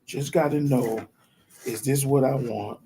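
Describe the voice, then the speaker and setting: A man speaking casually and haltingly, with many fillers, giving advice on marriage. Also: medium pitch, mellow, a little hiss or hum. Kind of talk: casual chat.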